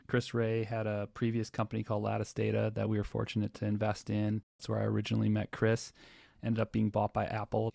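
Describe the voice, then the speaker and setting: A man's voice: on the low side, gravelly, darkish tone, no echo, clean studio sound. A man hosting a conversational business interview, talking as an investor with his guests.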